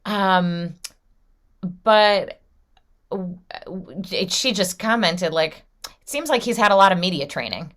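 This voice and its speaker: A woman rabbi hosts a conversation over a video call. Her voice sits on the low side, and she talks casually, with tentative questions and plenty of restarts. The sound is that of a laptop call. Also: expressive, takes it slowly, a bit bright. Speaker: rabbi and event host.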